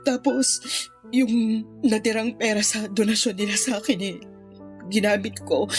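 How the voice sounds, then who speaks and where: A woman's voice: fairly high pitch, darkish tone, lightly acted. An actress playing an ashamed, grieving mother in a radio drama, tearfully confessing and blaming herself as she cries. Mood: grieving, guilty. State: crying. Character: remorseful impoverished mother, a runaway aunt, ashamed and grieving. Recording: music bed under the voice.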